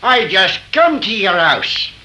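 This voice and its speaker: An actor playing a folksy old frontier man, deliberate and dramatic, in 1930s radio horror style on an old recording. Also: high voice, big pitch swings, bright, forceful tone, full character voice, poor audio. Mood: grave, ominous.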